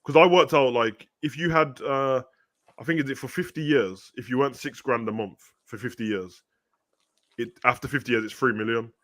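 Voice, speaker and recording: A young man, co-hosting a football watch-along. He talks in loose, slangy banter and drops "bro" all the time. His voice sits at an ordinary middle pitch, recorded close and dry with no room sound. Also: moderate pace, a bit bright, no echo. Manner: laid-back slang-heavy chat, says "bro" a lot. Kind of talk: casual banter.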